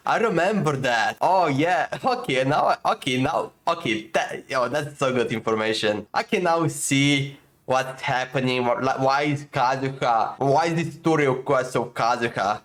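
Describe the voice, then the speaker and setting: Young man, gaming streamer, casual, excitable, filler-heavy commentary. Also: mid-range voice. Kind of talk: casual let's-play commentary.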